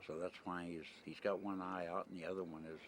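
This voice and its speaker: Low-voiced older American man, rambling. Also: level tone, brisk. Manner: rambling, blunt, plain-spoken, mildly profane. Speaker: interviewee, retired merchant seaman. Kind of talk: casual spoken interview. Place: on location at a desert camp, ambient noise. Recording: noisy 1990 amateur videotape sound.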